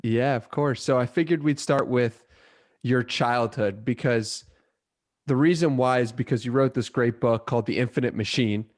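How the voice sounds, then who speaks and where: A man's voice: medium pitch, normal speed. A man hosting a podcast, asking his guest long, winding questions. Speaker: podcast host. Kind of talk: interview questions.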